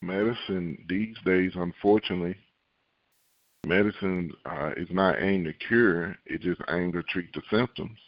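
A man preaching a sermon, reading scripture and acting out worried believers in a raised voice, repeating key lines slowly for emphasis. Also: low voice, no room sound, muffled recording. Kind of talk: podcast sermon.

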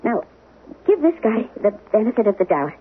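An actress playing a worried, pleading wife in a 1970s radio drama, halting and placating as she speaks. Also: on the high side, sing-song, a little hiss or hum. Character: worried, pleading wife trying to calm husband. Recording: muffled, band-limited 1970s radio broadcast.

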